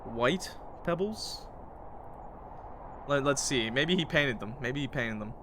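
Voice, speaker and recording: A man's voice: medium pitch, animated, takes it slowly, background noise. A man streaming a game with casual, jokey, slangy commentary. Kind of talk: casual commentary mixed with read-aloud narration.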